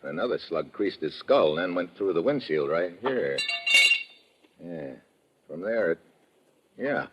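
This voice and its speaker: A muffled, hissy old radio recording of a hard-boiled American government agent. His voice sits low and swings widely as he fires brisk questions in detective drama style. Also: expressive. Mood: musing, frustrated. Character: tough male American government agent investigating a murder.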